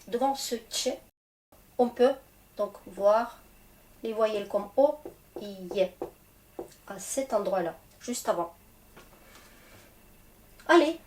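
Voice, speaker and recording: A woman with a Russian accent teaches at an unhurried pace. Her voice sits a little high, and she sounds stuffed up from a cold. She talks patiently and repeats things carefully for learners. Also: a little mellow. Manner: slow, patient, repeats and spells out endings. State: has a cold. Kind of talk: slow, friendly language lesson.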